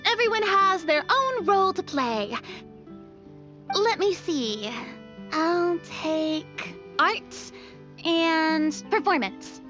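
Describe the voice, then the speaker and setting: A woman's voice: very high voice, lively intonation, extremely slow, sharp tone, muffled recording, faint music behind, heavily acted. A woman voicing a sweet, curious young woman in an anime game, speaking in a hesitant, wondering way.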